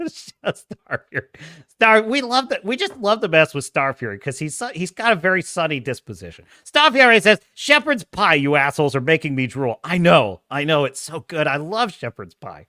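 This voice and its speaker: A man's voice: a little high, sing-song, normal speed, sharp tone, dry recording, studio quality. A man hosting a casual livestream, chatting and reading viewer comments, with a self-described whiny, nerdy voice, rambling and laughing often. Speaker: host.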